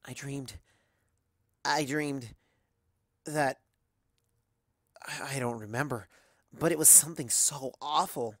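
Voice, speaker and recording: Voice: mid-range voice, slow pace, long pauses, a bit bright, no echo, studio quality, pitched above the performer's own voice. An adult narrator voicing a sensitive young female unicorn professor and devoted wife in a fan fiction reading. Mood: shaken.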